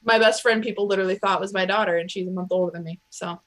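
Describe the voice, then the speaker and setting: A woman's voice: medium pitch, fairly even intonation, rapid-fire, a bit bright. A woman chatting casually as a tabletop game player, telling things in a rambling, anecdotal way. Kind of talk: casual chat.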